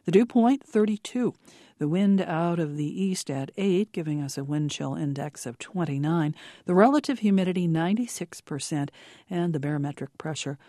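Male radio announcer, mellow tone, dry close sound, steady delivery. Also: fairly high pitch, moderate pace, no echo. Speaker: radio news host. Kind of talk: news and weather reading.

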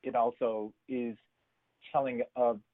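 A man who grew up in Chicago joins a radio talk show as a chef guest. He speaks in a measured way, with an occasional filler. His voice comes through a dry, tinny phone line. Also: medium pitch, flat delivery, dry recording. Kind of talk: casual radio interview.

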